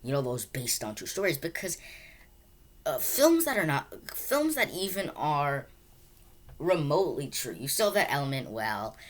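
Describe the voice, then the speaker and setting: A woman's voice: deep voice, big pitch swings, moderate pace. A woman giving a casual, unscripted film review, rambling and often repeating words as they restart sentences.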